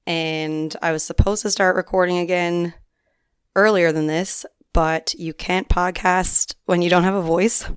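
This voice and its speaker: A chatty young woman hosts her solo podcast, her voice a little raspy and worn from singing. Her tone is low for a woman yet smooth and clear. The sound is close and dry, with no room around it. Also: no echo. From Newfoundland, Canada. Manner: chatty, rambling, frequent ums and likes. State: slightly hoarse from singing. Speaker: podcast host. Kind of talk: casual solo podcast chat.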